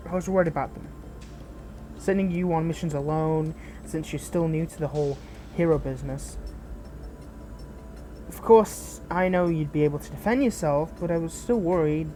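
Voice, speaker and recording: Woman acting a low, mellow betrayed fallen hero. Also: normal speed, long pauses, soft background music, lightly acted. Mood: worried, frustrated. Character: betrayed fallen hero, protective, bitter, caring mentor. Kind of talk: scripted audio roleplay addressed to the listener.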